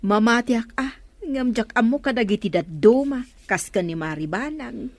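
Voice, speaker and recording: A woman's voice: on the high side, expressive, moderate pace, no echo. Teasing village neighbor woman. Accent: Ilocano. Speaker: radio drama actor. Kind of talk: Ilocano radio comedy drama.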